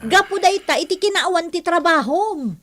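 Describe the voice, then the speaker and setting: A calm older relative in a radio drama. She gives frank advice, reasoning and admonishing in a measured manner. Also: extremely high pitch, full character voice. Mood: gently admonishing.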